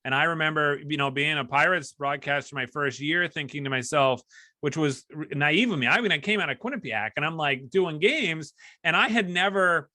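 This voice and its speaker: A man's voice: a little high, moderate pace, pushed and bright, dry recording, studio quality. An American man hosting a sports broadcasting podcast, interviewing a guest in a conversational way and sharing his own experience.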